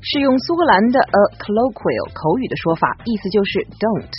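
A woman from China co-hosts a bilingual English lesson. She talks fast with a very animated, swooping melody. The broadcast audio is muffled, with soft music underneath. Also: medium pitch. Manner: brisk, lively explaining. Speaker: co-host and translator. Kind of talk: bilingual educational radio presenting.

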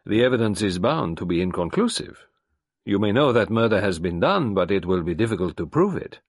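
A man voicing an earnest middle-aged French doctor who speaks haltingly and thoughtfully. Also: on the low side, big pitch swings, slightly rough, dry recording, clean studio sound, full character voice. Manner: halting, thoughtful, with hesitant fillers.

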